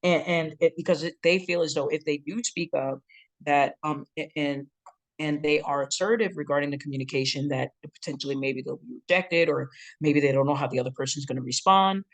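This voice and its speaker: A relationship educator with a deep, booming chest voice, giving a lively instructional talk. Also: fairly quick, low-quality recording. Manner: lively, breaks into acted quotes and laughs.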